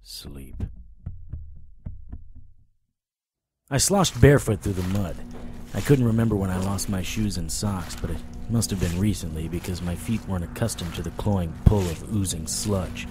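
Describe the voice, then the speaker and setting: A man's voice: low voice, moderate pace, a little mellow, slight background noise, lightly acted. An actor playing a sardonic vampire-hunting private eye, telling his story in a dramatic noir audio drama style, over music. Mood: grim, weary. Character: hard-boiled, wisecracking male vampire-hunting private eye. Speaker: narrator and lead actor. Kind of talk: first-person noir audio drama narration. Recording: music bed under the voice.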